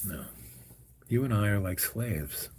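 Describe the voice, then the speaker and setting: A man of seventy in casual conversation, rambling and often trailing off quietly at the ends of phrases. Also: lowish voice, animated, slow pace, a little hiss or hum. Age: 70s. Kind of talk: casual chat.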